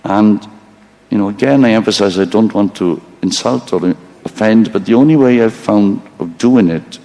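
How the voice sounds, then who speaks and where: A man's voice: lowish voice, normal speed, mellow. A man giving a rambling live stage monologue, swearing freely, mocking himself and stopping to apologize for his slip-ups. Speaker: stand-up storyteller.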